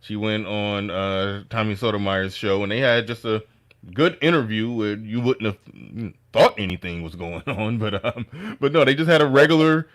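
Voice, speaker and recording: A man's voice: on the low side, normal speed, fairly bright tone. A man in casual online chat, rambling with many fillers.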